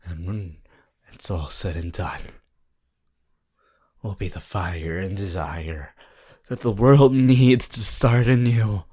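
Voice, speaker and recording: A voice actor playing a possessive, unhinged yandere lover in an ASMR roleplay, slow, intimate and breathy, swinging between tender and manic. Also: low voice, full character voice. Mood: bitter, bloodthirsty. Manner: slow, drawn-out, intimate, swelling from whispers to loud outbursts.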